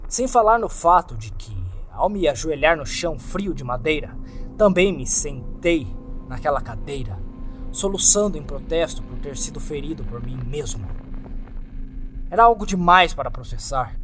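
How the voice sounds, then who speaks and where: A man's voice: fairly high pitch, expressive, normal speed, fairly bright tone. A man with a Brazilian accent reading a scripted horror story as narrator, in a dramatic, suspenseful, theatrical way. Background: music.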